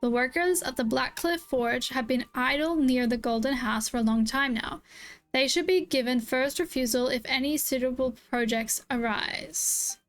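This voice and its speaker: A woman's voice: high voice, normal speed, faint music behind. A woman streamer reacting casually to a game and reading its text aloud.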